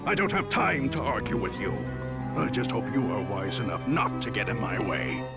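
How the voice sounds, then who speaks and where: An actor playing a stern, secretive older alchemist in a video game, haughty, curt and menacing. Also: male voice, mid-range voice, sing-song, moderate pace, creaky, over loud music. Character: stern, secretive older male alchemist, former father figure.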